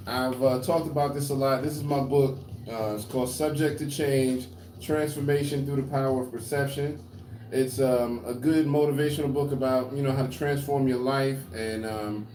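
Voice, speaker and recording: A man's voice: mid-range voice, dark tone, reverberant, slight background noise, low-quality recording. A man in his forties hosting a podcast, talking casually and leaning on fillers as he plugs things. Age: 40s. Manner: laid-back, drawn-out plugs with fillers. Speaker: podcast host. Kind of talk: casual chat.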